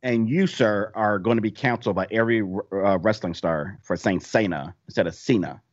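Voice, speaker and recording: A man's voice: fairly low pitch, normal speed, no room sound. A man co-hosting a podcast, joking and teasing in a casual chat. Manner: joking, teasing, laughs often. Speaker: podcast co-host. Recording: dull lo-fi dry sound.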